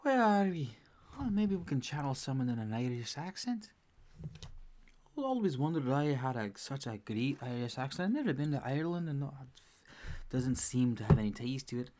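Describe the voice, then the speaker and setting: Casual male streamer, relaxed and jokey, talking to chat. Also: mid-range voice, moderate pace. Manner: laid-back, joking, talks to chat. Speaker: livestream host.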